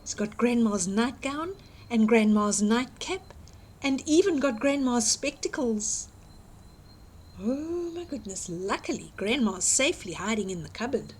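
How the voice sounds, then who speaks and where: A woman reading a fairytale aloud to young children, dramatic and expressive, exclaiming and putting questions to the listener. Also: on the high side, measured pace, a little hiss or hum. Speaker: children's storyteller.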